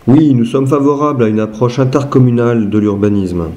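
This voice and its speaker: A man's voice: medium pitch, brisk, mellow. A man reading written statements aloud in a scripted style.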